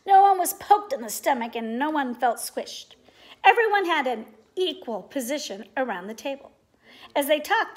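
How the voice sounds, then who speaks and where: A middle-aged woman reading a children's storybook aloud in an expressive, deliberate way. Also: high-pitched. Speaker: storybook reader. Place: indoors, living room at home.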